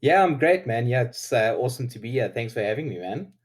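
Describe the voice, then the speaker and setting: A man's voice: medium pitch, expressive, a little fast. A man in his thirties with a South African accent, an Afrikaans native speaker, chatting as a laid-back interview guest. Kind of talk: casual interview chat.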